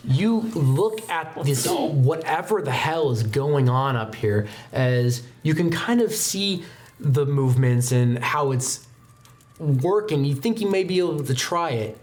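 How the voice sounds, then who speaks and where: A man's voice: a little high, animated, unhurried, a little mellow. A man narrating a tabletop roleplaying game as game master, with dramatic description and casual asides. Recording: background music under the voice.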